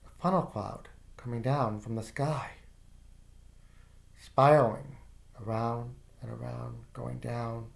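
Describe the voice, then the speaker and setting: A man slowly narrating a guided visualization in the second person, calm and soft-spoken with casual fillers. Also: medium pitch, gravelly.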